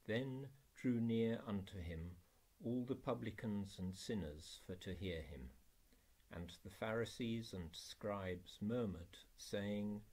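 A man reading scripture and prayer for an Anglican service in a formal, measured, solemn manner. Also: fairly low pitch, a little mellow, breathy edge. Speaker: reader in an Anglican church service.

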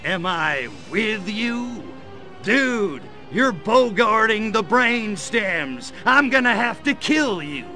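An actor voicing a cynical, menacing little alien invader in a video game, sneering and full of wisecracks. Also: male voice, high-pitched, slow, faint music behind, exaggerated voice. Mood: gloating, menacing. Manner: wisecracking, sneering, deadpan asides. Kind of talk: video game cutscene acting.

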